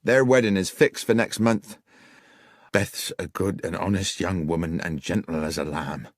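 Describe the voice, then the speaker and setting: A man voicing an elderly village innkeeper, gruff and protective of his family. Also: low-pitched, moderate pace, no echo, studio quality. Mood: agitated. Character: elderly male innkeeper, protective, quick-tempered.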